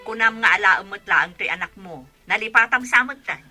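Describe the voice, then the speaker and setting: Worried fussy auntie in a radio play, really sharp bright voice, keeps insisting. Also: female voice, mid-range voice, fairly quick, faint music behind. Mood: exasperated. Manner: insistent, cautioning. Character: fussy, worried, exasperated aunt. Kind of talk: radio drama acting.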